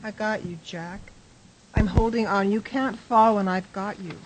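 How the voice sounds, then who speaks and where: A woman's voice: medium pitch, fairly even intonation, measured pace, noisy background, natural voice. An actress playing an anxious, protective woman who offers comfort in a radio drama. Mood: reassuring.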